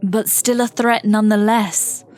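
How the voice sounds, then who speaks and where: An actress playing a sober, skeptical celestial warrior in a fantasy audio drama, formal and cautioning. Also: female voice, medium pitch, fairly even intonation, a little slow, darkish tone, lightly acted. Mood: wary.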